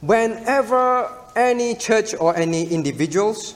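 Male preacher, fairly high-pitched, didactic, emphatic short phrases, rhetorical questions, long hall reverb. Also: expressive, measured pace. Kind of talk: expository sermon delivered in short phrases for an interpreter. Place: large reverberant room, preaching to a congregation.

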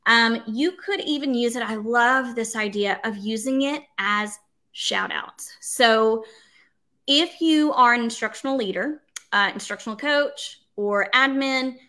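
Bright, high-voiced enthusiastic woman. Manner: enthusiastic, emphatic, chatty. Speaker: instructional coach and consultant leading a livestream. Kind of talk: casual livestream tutorial, answering comments.

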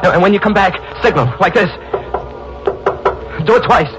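An actor playing a guilt-ridden young husband in a 1940s radio drama, stammering and rising into panic. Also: male voice, high-pitched, expressive, moderate pace, over loud music. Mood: frantic. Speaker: Tate, the narrator. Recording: lo-fi old radio recording.

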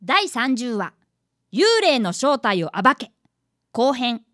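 Woman voicing a high, timid, polite young boy. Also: lively intonation, takes it slowly, very noisy. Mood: earnest narrating. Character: polite, timid fifth-grade boy, apprentice detective. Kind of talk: radio drama narration and dialogue.

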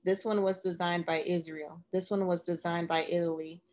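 A woman voicing a stern, serious father who speaks slowly and deliberately to his child in an audiobook reading. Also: lowish voice, level tone, a little mellow, a little hiss or hum, close to an everyday voice. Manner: slow and deliberate.

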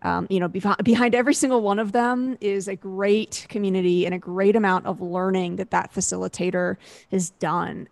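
A woman hosting an interview over a video call. Her voice is close and dry, with no room sound. She speaks in a measured, encouraging way and uses a few fillers. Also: mid-range voice, vocal fry, dry recording. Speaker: interview host. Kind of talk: casual interview talk.

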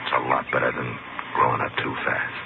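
Laconic American marshal, extremely low voice, calm, dry, old radio western, tinny recording. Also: male voice, brisk, vocal fry, full character voice. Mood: dry, patient. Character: big, calm, dryly wise frontier lawman. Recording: tinny, noisy 1950s radio broadcast recording.

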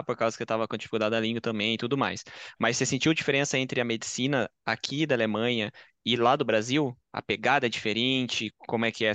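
A man co-hosting a podcast, asking open questions in a relaxed, casual way. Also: medium pitch, dry recording. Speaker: podcast co-host. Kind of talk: casual conversation.

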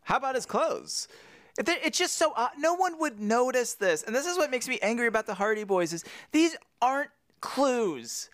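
A man co-hosting a casual podcast chat, animated and jokey, his pitch jumping high and low as he riffs. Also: normal speed, no echo, clean studio sound. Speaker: podcast co-host.

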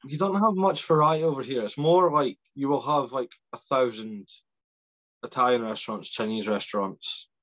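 A man's voice: mid-range voice, normal speed, fairly bright tone. A Scottish man hosting a casual interview, speaking in an informal, self-deprecating way. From Scotland. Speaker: podcast host interviewing athletes.

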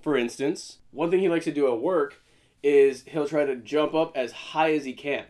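A young man with a fairly high voice co-hosts a laid-back podcast. He jokes and teases, trips over his words and starts sentences again, and keeps a playful chatty tone. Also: normal speed, darkish tone. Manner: joking, stammers and restarts. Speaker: podcast co-host. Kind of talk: casual banter.

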